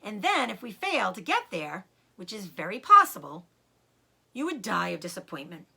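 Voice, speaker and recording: A woman voicing a clever, excitable and loving father fox in a storybook, with proud exclamations, commands and cautious hushes. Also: medium pitch, normal speed, creaky, slightly rough, full character voice. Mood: earnest, careful.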